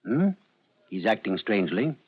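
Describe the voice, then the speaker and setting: Radio drama: a suave psychoanalyst, measured and polite. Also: male voice, lowish voice, very animated, husky. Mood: soothing. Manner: polished, soothing, growing uneasy. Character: smooth middle-aged male psychoanalyst. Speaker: doctor. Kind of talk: 1940s radio acting. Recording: dull lo-fi old radio recording.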